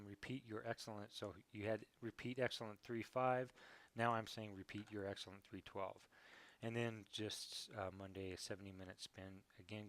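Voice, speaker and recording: A man's voice: mid-range voice, fairly bright tone. Casual American man, encouraging coach. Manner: informal, encouraging, thinking aloud. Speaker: cycling coach. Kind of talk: casual spoken review of training data.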